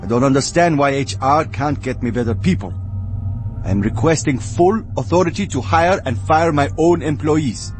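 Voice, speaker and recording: An irritated, self-important department manager acted by a man dictates a video game log. His voice sits a little high, officious and exasperated, with faint music underneath. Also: normal speed, natural voice.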